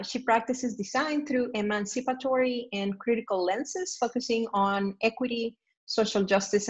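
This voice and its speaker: A woman's voice: on the high side, breathy edge, dull audio. A woman moderating a panel on a video call, introducing speakers in an appreciative way.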